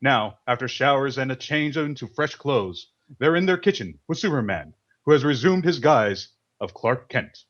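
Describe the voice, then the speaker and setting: A man's voice: medium pitch, animated, normal speed, a bit bright. A man reading scripted narration for an old-time radio drama over an internet call. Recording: dull lo-fi internet call audio.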